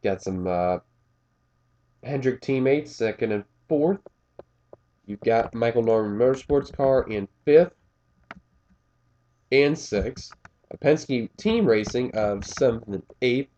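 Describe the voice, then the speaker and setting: A man's voice: mid-range voice, animated, slow, long pauses, dark tone, dull audio. A man with a slightly scratchy voice doing casual play-by-play commentary of a race, rambling with fillers and correcting himself as he goes.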